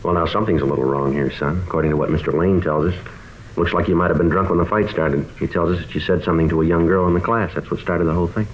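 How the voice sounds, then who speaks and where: A very low-voiced American police detective played by a man in a muffled old radio drama. He speaks briskly in a clipped deadpan, steady and persistent. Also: slight background noise, lightly acted. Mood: firm, pressing. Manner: clipped, deadpan, matter-of-fact. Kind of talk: 1950s American radio drama acting and narration. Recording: dull old radio recording.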